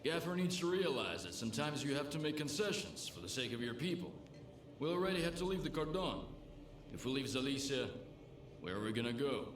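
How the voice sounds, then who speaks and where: Casting: man acting world-weary game bartender, gruff and slangy, swinging intonation, echoing room. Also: mid-range voice, sing-song, fairly quick, close to an everyday voice. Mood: cautious, matter-of-fact. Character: world-weary, pragmatic male bartender in a lawless zone. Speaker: bartender character. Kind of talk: video game dialogue. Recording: roomy echo with noisy game ambience.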